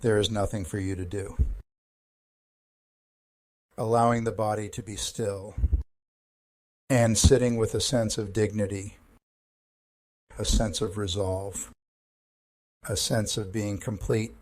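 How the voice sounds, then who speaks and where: A man leading a guided meditation as a counselor, speaking in a calm, measured, gentle instructional way with pauses. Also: lowish voice, no echo, rough recording.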